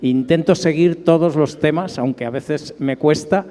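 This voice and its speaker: A man's voice: a little high, measured pace, warm tone. A middle-aged man with an accent from Spain, speaking informally as a conference panelist. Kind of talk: informal personal recollection on a panel. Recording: long hall reverberation.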